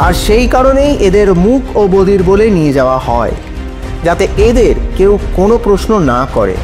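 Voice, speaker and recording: High voice, earnest advocate, deliberate courtroom argument, audio drama, music behind. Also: male voice. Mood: earnest, pleading. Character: Aniket, earnest male advocate arguing a trafficking case in court. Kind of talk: Bengali audio drama acting.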